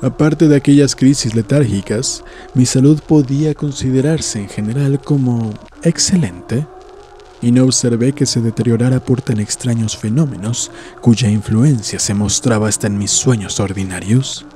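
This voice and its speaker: A man reading a scripted horror story as an audiobook narrator, in a measured, solemn, literary manner. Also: mid-range voice, dark tone. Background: background music.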